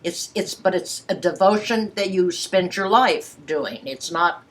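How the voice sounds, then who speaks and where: A woman in her eighties with a fairly low-pitched voice speaks in a casual, candid conversation. She is blunt and emphatic, with a playful streak in the way she talks. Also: lively intonation, measured pace. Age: 80s. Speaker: sex educator giving private sessions.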